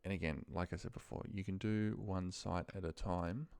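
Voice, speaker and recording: A man's voice: sits low, fairly even intonation, normal speed, creaky, darkish tone, clear, resonant tone. A man giving a casual, unscripted screen tutorial as a trainer, thinking aloud informally and trailing into mumbled asides, close to the mic. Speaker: trainer demonstrating a data task.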